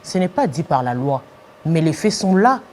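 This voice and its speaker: A woman's voice: deep voice, sing-song, moderate pace, darkish tone, slight background noise. A woman hosting a TV interview, assertive, pressing her guest and insisting on finishing her point. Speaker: TV talk show host.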